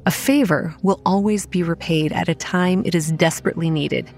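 An actress playing a wary, anxious young campground manager who narrates a horror audio drama in the first person. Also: female voice, on the low side, normal speed, a little mellow, soft background music, close to an everyday voice. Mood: wary narration.

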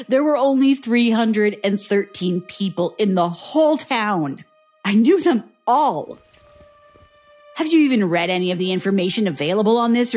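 A bitter woman in her thirties played by an actress, with a medium-pitched voice and faint music behind. She is curt, exasperated and sarcastic, in naturalistic radio drama style. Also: a little mellow, lightly acted. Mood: scornful. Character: bitter, impatient, sardonic woman in her thirties, sole survivor. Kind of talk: naturalistic audio drama acting.

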